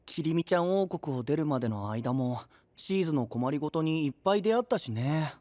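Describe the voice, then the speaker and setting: Upbeat young anime knight, played by a man, casual and friendly, very animated delivery. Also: fairly high pitch, a little fast, no echo, lightly acted. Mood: cheerful, encouraging. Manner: breezy, casual, friendly slangy talk. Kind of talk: anime-style audio drama acting.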